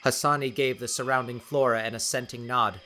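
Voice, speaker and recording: A man reading dramatic scripted narration for an audiobook in a deliberate, theatrical way. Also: medium pitch, fairly even intonation, sharp tone, slight background noise.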